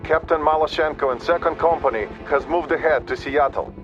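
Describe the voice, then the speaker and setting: A man's voice: fairly high pitch, fairly quick, muffled recording, natural voice. Man acting a grave Soviet major, music behind. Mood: grim. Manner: sober, clipped military reporting. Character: grave, dutiful Soviet major taking command. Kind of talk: video game voice acting.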